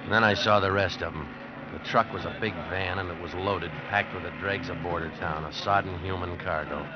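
A man's voice: low-pitched, fairly even intonation, fairly quick, noisy background, lightly acted. An actor playing a hard-luck American drifter who tells his story in a terse, brooding 1940s noir radio style. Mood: bitter. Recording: dull vintage radio recording. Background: dramatic music.

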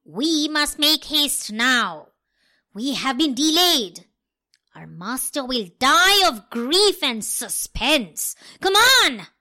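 A woman voicing a clever, scolding cat in a storybook tale, speaking loudly and commandingly. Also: high voice, big pitch swings, takes it slowly, exaggerated voice. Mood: bossy urgent.

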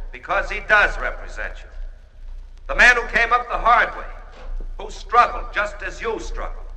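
Oratorical candidate, old-time radio, distant loudspeaker echo. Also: male voice, high voice, exaggerated voice. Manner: oratorical, speechmaking. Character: smooth male political candidate at a rally. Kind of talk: 1940s radio acting. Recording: far-off echoey loudspeaker sound.

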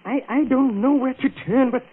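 A man's voice: very high voice, moderate pace, breathy edge. An actor playing a desperate man who has killed a rival, stammering and breaking into hysterical laughter, in a 1940s radio drama. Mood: panicked. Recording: tinny lo-fi 1940s radio broadcast with hiss.

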